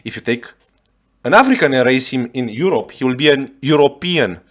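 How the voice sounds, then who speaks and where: A man's voice: medium pitch, expressive, a little fast, a bit bright. A man from Romania speaking English with a Romanian accent as a reaction video host, swearing often and restarting his sentences as he rants in exasperation. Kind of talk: ranting reaction commentary.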